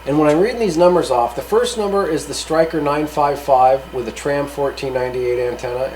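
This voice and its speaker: A man's voice: medium pitch, darkish tone, rough recording. Man rambling casually over noticeable background noise. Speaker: hobbyist video host reviewing radio gear. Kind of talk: casual unscripted product test rundown.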